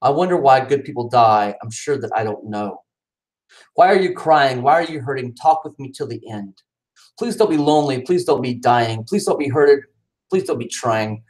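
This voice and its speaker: A man's voice: animated, normal speed, darkish tone, rough recording. A man at home chatting casually about his cat, then reading his own poems aloud with swooping, dramatic delivery. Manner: casual aside then dramatic poetry reading with pitch swings. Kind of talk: casual chat and poetry reading.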